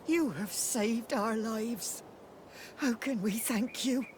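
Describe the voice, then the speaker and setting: A woman's voice: a little high, darkish tone, slight background noise. A woman playing a weary freed prisoner in a game, speaking with heartfelt gratitude. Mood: grateful. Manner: slow, heartfelt. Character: weary, grateful freed female captive.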